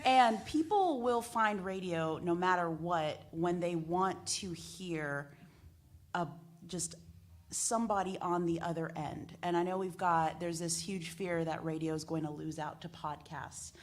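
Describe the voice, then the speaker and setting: American woman on a radio panel, kinda low voice, earnest, repeats stuff to make a point. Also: measured pace. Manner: emphatic, earnest, repeats phrases for effect. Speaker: community radio broadcaster, panelist. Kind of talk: panel discussion talk, animated storytelling.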